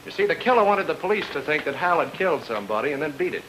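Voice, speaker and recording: Hard-boiled private eye, wry and clipped, old radio noir style, faint noise. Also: male voice, a little high, very animated, racing, fairly bright tone. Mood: confident explaining. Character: hard-boiled, sardonic male private detective. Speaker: actor in a radio detective drama. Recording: noisy old radio recording.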